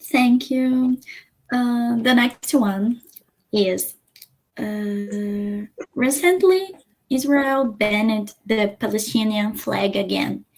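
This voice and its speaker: A woman moderating a lecture, reading out an audience question haltingly and stumbling over a word. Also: fairly high pitch, poor audio.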